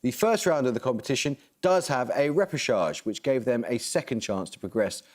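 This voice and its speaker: A man hosting a televised student quiz, reading questions and scores in a crisp, measured way. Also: mid-range voice, animated. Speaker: quiz show host.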